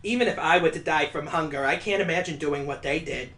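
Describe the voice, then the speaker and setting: A man's voice: fairly high pitch, fairly quick, bright, forceful tone. A man reading as an earnest young boy hero. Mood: sorrowful.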